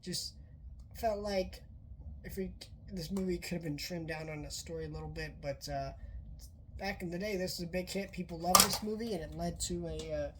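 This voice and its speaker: A woman's voice: lowish voice, moderate pace, background noise. Sick-sounding woman rambling through a review. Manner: rambling, many filler words and restarts. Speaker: movie reviewer. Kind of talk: casual spoken film review.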